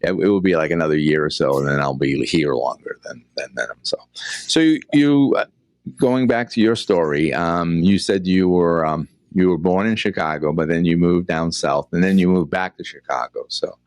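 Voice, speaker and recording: A man's voice: low voice, moderate pace, dry recording. A man in his early sixties with a Boston accent, hosting a casual interview in a rambling way that repeats words. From Boston, New England. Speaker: interview show host.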